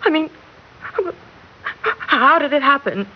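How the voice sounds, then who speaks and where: A woman's voice: sits high, animated, slow. A woman playing an anxious, guilt-ridden mother in an old radio drama, with a breathless, stammering, melodramatic delivery. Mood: distraught. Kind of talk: 1960s radio drama acting. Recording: dull, hissy old radio recording.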